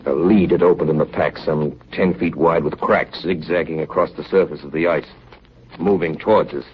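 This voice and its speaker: An actor playing a grave ship's officer narrating an Arctic expedition log in a measured, somber 1930s radio drama style. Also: male voice, deep voice, a little hiss or hum, close to an everyday voice. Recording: dull 1930s radio broadcast with music.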